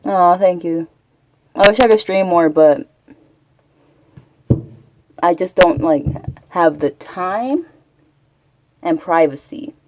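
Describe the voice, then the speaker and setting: A woman's voice: lowish voice, slow, long pauses, a little mellow, low-quality recording. A chatty woman from Texas, rambling casually as a live streamer and answering her chat. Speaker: Twitch streamer.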